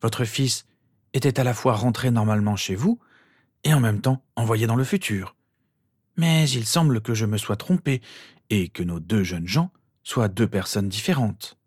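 A man voicing a venerable old wizard headmaster in an audiobook, calm, courteous and pensive in a measured way. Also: lowish voice, slightly rough, no room sound, studio quality, voice pushed up. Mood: courteous, thoughtful.

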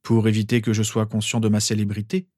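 A man's voice: on the low side, monotone, quick pace, a little mellow, no room sound, lightly acted. An earnest teenage wizard from a storybook, played by a man, a little awkward and hesitant as he explains. The recording is dry. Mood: explaining. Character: earnest, awkward seventeen-year-old wizard boy.

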